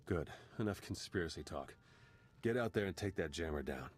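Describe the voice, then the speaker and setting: Deep-voiced, wry hacker-spy in a game, dry humor, quick to the point. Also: male voice, lively intonation, breathy edge. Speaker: spy and slicer. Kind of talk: video game cutscene acting.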